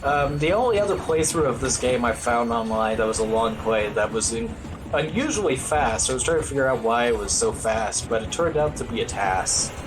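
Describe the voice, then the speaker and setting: Man commentating over loud game music. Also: mid-range voice, animated, normal speed, poor audio. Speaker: speedrunner commentating on own gameplay. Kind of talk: casual live speedrun commentary. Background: video game music.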